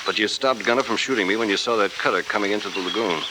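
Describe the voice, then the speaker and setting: Actor as deep-voiced American tough investigator, vintage radio. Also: male voice, rapid-fire, lightly acted. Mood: cool, pressing. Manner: clipped, wry, commanding. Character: tough, coolly shrewd male investigator hero. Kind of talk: 1940s American radio adventure acting. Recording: noisy old 1940s radio recording.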